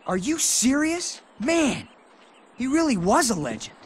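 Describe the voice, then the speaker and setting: A man playing an eager, naive young skater in a video game, casual and excitable. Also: very high voice, unhurried, a little hiss or hum, full character voice. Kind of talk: video game cutscene acting.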